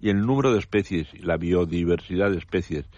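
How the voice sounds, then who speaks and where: Older man from Spain, deep voice, professorial radio expert, dry slightly dull studio sound. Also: fairly quick, darkish tone, no room sound. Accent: Spanish from Spain. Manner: professorial, digressive, jokey. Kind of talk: casual radio chat. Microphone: studio mic.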